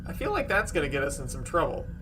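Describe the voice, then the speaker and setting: A man's voice: fairly high pitch, huge pitch swings, quick pace. A man chatting casually as a player during a tabletop role-playing game. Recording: clear ambient noise behind the voice.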